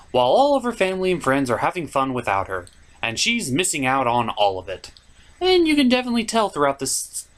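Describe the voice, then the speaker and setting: A man's voice: a little high, fairly bright tone. A man hosting a cartoon review, delivering scripted comedic narration with theatrical, sarcastic asides. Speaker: cartoon reviewer, show host.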